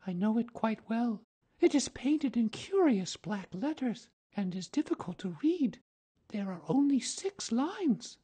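A woman's voice: a little high, very animated, a little slow, warm tone, breathy edge, full character voice. A woman voicing an earnest, outspoken young girl, speaking in a prim, matter-of-fact way. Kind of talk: audiobook drama reading.

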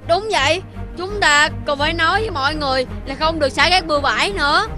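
A woman's voice: sits high, quick pace, close to an everyday voice. A woman voicing an earnest, whiny young pup, a childlike playmate in a children's cartoon. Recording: music behind voice.

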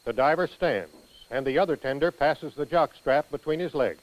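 An American man reading scripted instructional narration for a training film in a precise, measured manner. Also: a little high, poor audio.

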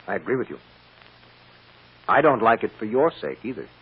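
An actor playing a firm, shrewd defense lawyer with a blunt, emphatic manner in an old-time radio drama. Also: male voice, lowish voice, sing-song, normal speed, breathy edge, full character voice. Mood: grave. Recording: muffled, hissy old radio recording.

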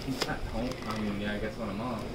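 A man in his thirties chiming in off camera with casual, halting, informal remarks in a home kitchen. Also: fairly low pitch, steady tone. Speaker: host's partner chiming in off camera. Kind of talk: casual chat. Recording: noticeable background household noise.

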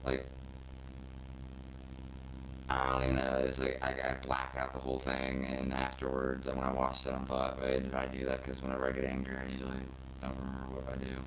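Extremely deep robotic man's voice. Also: brisk. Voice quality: robotic, synthetic, monotone timbre. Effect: robot voice filter. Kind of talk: interview about a viral video. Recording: muffled, noisy, echoing audio with hum.

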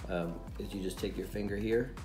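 A man's voice: on the low side, fairly even intonation, fairly quick, over music. A man in his thirties, a pastor, patiently teaching children step by step at a home dining table, repeating each instruction. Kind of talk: playful how-to demonstration for kids. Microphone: camera sitting on the table.

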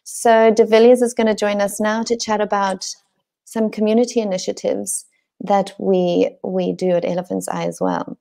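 A Zimbabwean woman in her forties hosts a webinar from home over a video call. Her voice is low-toned and gravelly, with a warm, dark tone. She sounds enthusiastic but hesitant, filling pauses with ums. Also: level tone. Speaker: lodge host. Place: home room indoors.

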